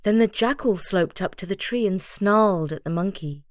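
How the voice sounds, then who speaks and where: A woman narrating a scripted children's bedtime story in an expressive style. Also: mid-range voice, moderate pace, noisy background.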